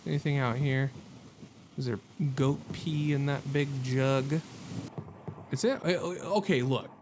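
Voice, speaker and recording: A mid-pitched man commentates on a game in a casual, slangy way. He jokes at his own expense. Clear game noise runs behind his voice. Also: takes it slowly. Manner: joking, self-deprecating, slangy. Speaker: gaming video host. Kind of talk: casual let's-play commentary.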